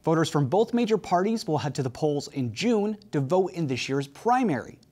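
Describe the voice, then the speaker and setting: A man's voice: a little high, sing-song, normal speed, a little mellow. Young male TV news host. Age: young adult. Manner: scripted intro, then conversational questions with fillers. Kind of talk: news interview hosting. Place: TV studio. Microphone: lapel mic.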